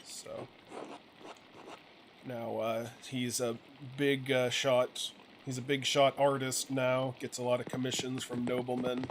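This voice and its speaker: A man's voice: mid-range voice, flat delivery, slow pace, a little hiss or hum, low-quality recording. A man hosting a gaming video, giving a casual, hesitant story recap and thinking aloud as he goes. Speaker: gaming channel host. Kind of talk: casual, rambling story recap over gameplay.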